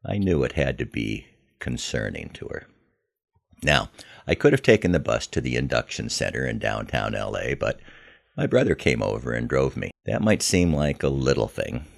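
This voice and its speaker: An older American man telling a scripted first-person story in a measured, reflective manner. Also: very deep voice, vocal fry. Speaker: podcast host telling his memoir.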